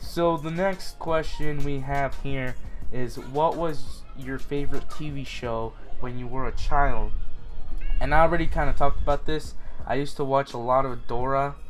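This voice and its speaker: A young man from Utah answering viewer questions in a casual, rambling vlog, full of fillers and restarts. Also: mid-range voice.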